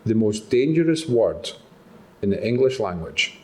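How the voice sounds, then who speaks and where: A man with a Scottish accent gives a motivational talk to an audience in a conference room. His delivery is animated and conversational. He keeps turning to the listeners with quick questions. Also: lowish voice. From Scotland. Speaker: speaker at a patient summit.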